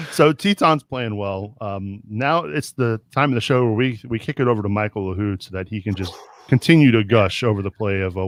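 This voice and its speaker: A man's voice: fairly low pitch, no room sound. Upbeat male podcast host. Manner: upbeat host reading segment transitions. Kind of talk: casual chat and show segments.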